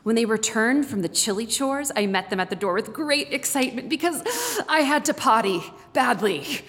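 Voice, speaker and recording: A woman's voice: fairly high pitch, expressive, moderate pace, a bit bright. A woman reading a book excerpt aloud as a guest reader. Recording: echoey hall with long reverb.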